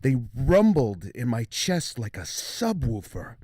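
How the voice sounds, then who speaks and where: A man playing a rattled, nerdy researcher of mysterious creatures, halting and stammering, in an audio drama field transmission. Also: medium pitch, big pitch swings, dark tone, dry recording, clean studio sound. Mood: baffled wonder. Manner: halting, stammering, nervous chuckles.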